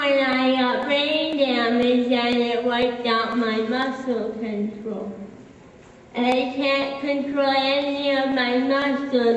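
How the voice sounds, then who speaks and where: A wry, wistful fourteen-year-old boy played by a woman confides his story in a dramatic stage monologue. The voice is fairly high and conversational, broken by dramatic pauses. It rings through a very echoey hall, picked up from a distance. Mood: strained, deliberate. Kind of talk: solo dramatic monologue, direct address. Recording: echoey hall, distant, muffled, faint noise.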